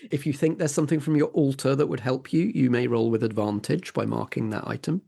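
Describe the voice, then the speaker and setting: A man's voice: medium pitch, fairly even intonation, brisk, gravelly, mellow. Man running a tabletop game. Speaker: game master. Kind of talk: narrating and refereeing a tabletop game.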